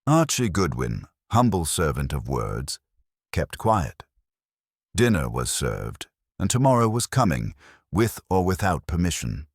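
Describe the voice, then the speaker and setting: A man's voice: low-pitched, sing-song, a little slow, slightly rough, no room sound, studio quality. A man reading a first-person detective story as a scripted narration, with a wry, hardboiled delivery. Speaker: audiobook narrator.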